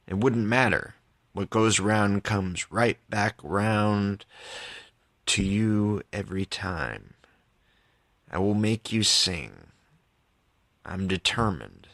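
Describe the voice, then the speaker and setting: A man with a fairly low but bright, forward voice hosts a poetry podcast. He takes his time, with a very unhurried pace, and comes across as informal and candid, somewhere between reading verse and chatting about it. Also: vocal fry. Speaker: podcast host and poet. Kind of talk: reading own poems with casual commentary.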